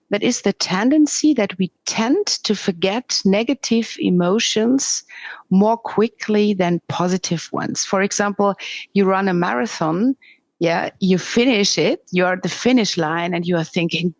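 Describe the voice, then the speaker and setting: A middle-aged woman with a Swiss German accent, speaking English as a second language, talking candidly as a podcast guest over a remote call with halting restarts. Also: medium pitch, dry recording. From Switzerland. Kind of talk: interview conversation.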